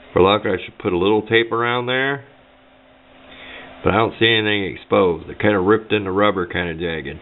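A man with a fairly low voice talks casually through a homemade build. He is folksy and unscripted, rambling along as he explains. The sound is narrow and phone-like, as from a small camera mic. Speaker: hobbyist demonstrating a homemade build. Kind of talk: casual unscripted how-to talk. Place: indoors at a workbench.

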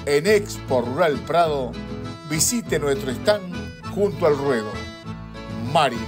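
A man's voice: fairly high pitch, animated, slow. A man reading a scripted advertisement as an announcer. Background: guitar music.

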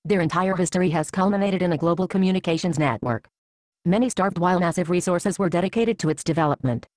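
A low voice in a very dry recording, playing a grandiose robot conqueror from a cartoon acted by a woman. The delivery is pompous, formal and declamatory, with cold logic behind every line. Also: quick pace, a little mellow, no room sound, lightly acted. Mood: coldly triumphant. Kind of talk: scripted animated comedy dialogue.

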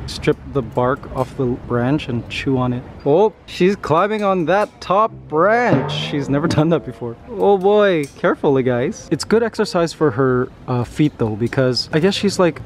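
A man in his thirties from Canada, vlogging casually outdoors on a city street with a handheld camera, chatty and quick to laugh. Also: on the high side, slight background noise. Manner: chatty, laughs often, talks to his parrot. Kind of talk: casual walking vlog. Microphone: handheld camera at arm's length. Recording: loud music bed over the voice.

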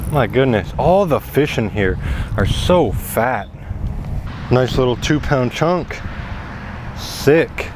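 A young man fishing at a pond and talking to camera, exclaiming loudly each time he hooks a fish. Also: medium pitch, takes it slowly, pauses often. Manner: excitable, exclaims at each catch. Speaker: fishing vlogger. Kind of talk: casual first-person fishing vlog. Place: outdoors at a pond by a large building. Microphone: head-mounted action camera. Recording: noisy outdoor action-cam audio.

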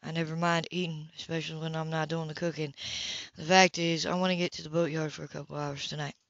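Brief: quiet gruff man voiced by a woman, low soft voice, dry dull recording. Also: flat delivery, fast, no echo. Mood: laconic.

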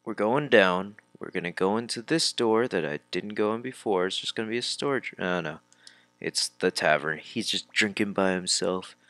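A man's voice: low voice, normal speed, bright, forceful tone, dry recording. A man giving casual let's play commentary, reading dialogue aloud and muttering informal asides to himself. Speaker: video game let's player.

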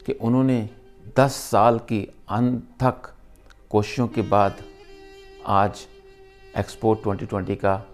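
A middle-aged man with a Pakistani accent speaks in a fairly low voice, delivering a motivational talk to camera. His phrasing is earnest and emphatic, broken by deliberate pauses. Soft instrumental music plays behind him.

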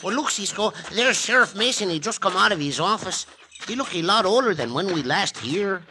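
Pancho, a bright excitable sidekick. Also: male voice, sits high, fairly quick, exaggerated voice. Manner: broken English, excitable. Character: Pancho, jolly Mexican sidekick man. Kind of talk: 1950s radio drama acting. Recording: noisy old radio broadcast.

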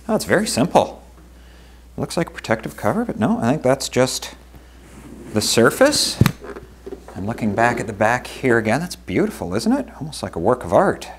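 Lively, chatty man unboxing gear. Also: medium pitch, background noise. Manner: enthusiastic, chatty, lively exclamations. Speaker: veterinarian and channel host. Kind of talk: product unboxing vlog. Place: living room with wooden table, indoors.

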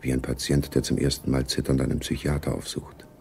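A man narrating a spy radio drama gravely, with a deep, gravelly voice. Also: completely flat delivery, fairly quick, a little mellow, a little hiss or hum.

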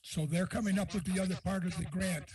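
A man speaking as a county supervisor at a meeting, explaining in a halting way. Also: high-pitched, steady tone, darkish tone, breathy edge, no echo.

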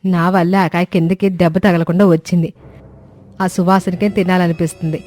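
A woman's voice: lowish voice, level tone, moderate pace, a little mellow, faint music behind, lightly acted. Woman acting an eager, boastful young daughter-in-law. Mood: coy, wanting. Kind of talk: cartoon moral-story dialogue.

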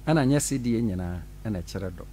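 Animated middle-aged pastor in a studio. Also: male voice, lowish voice, normal speed, a little hiss or hum. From Ghana. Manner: animated, gestures while talking, laughs often. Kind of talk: radio studio interview. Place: small radio studio. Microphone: studio mic on boom arm.

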